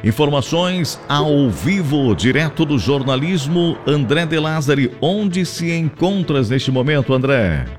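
A man's voice: a little high, animated, unhurried, few pauses. A man with a southern Brazilian accent hosting live radio in a chatty, effusive way, often repeating his phrases. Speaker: radio host. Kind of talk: live radio chat, shout-outs and ad reads. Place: radio studio. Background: music under the voice.

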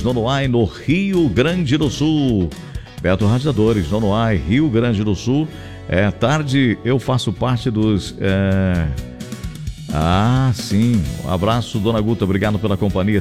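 Low-voiced southern Brazilian man hosting radio. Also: measured pace, darkish tone. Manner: chatty, effusive, repeats phrases. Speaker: radio host. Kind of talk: live radio chat, shout-outs and ad reads. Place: radio studio. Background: music under the voice.

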